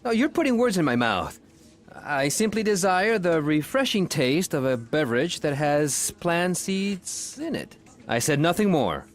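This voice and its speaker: An actor playing a defensive, fussy office boss in a scripted comedy, speaking in a prim, stilted way. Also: male voice, on the high side, big pitch swings, unhurried. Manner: prim, stilted formal wording. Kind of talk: scripted workplace comedy acting.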